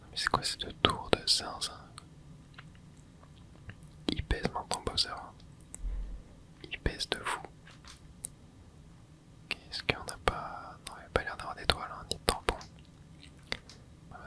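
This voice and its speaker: A man in his twenties, whispering softly as he comments on his gameplay for viewers. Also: extremely high pitch, a little slow, pauses often. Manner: soft whispering, with excited asides to viewers. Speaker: gaming ASMR creator.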